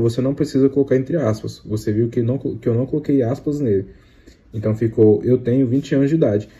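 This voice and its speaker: A man's voice: medium pitch, breathy edge. A young man with a Brazilian accent explaining beginner coding step by step, casual and chatty, often tagging lines with "tá?" and "né?". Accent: Brazilian Portuguese. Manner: repeats filler tags like "tá?" and "né?"; slangy, excitable outro.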